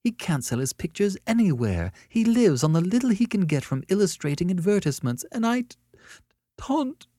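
A man voicing a quick-tempered young woman artist in an audiobook story, sharp-tongued yet remorseful, with an emotional, theatrical way of talking. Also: on the high side, sing-song, dry recording, studio quality, voice pushed up. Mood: miserable, remorseful.

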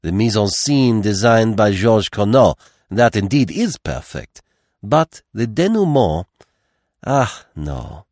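A fussy, philosophical gentleman detective acted by a male narrator with a French accent. He is precise and dignified, with theatrical swoops in pitch. The audiobook sound is close and dry. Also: big pitch swings, slow, no room sound, heavily acted.

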